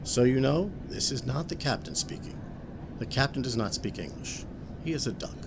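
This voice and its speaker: A man's voice: lively intonation, normal speed. A man playing a polite airline crew member who makes a courteous, matter-of-fact cabin announcement in a comedy sketch. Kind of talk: scripted airline announcement parody. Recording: clear vehicle cabin noise. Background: airplane cabin rumble.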